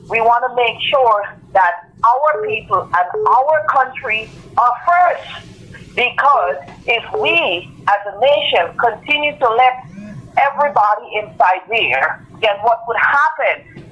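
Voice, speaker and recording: A man's voice: sits high, sharp tone, a little hiss or hum, poor audio. A Guyanese man with a slight foreign tinge in his accent, phoning in to a radio show, talking in an earnest, insistent way and repeating phrases for emphasis. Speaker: caller. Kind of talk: call-in radio talk.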